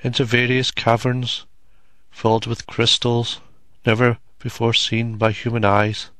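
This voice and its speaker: Slow, soothing male meditation guide, dry sound. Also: medium pitch, fairly bright tone, no echo. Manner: slow, soothing, deliberate phrasing with long pauses. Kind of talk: guided relaxation meditation narration.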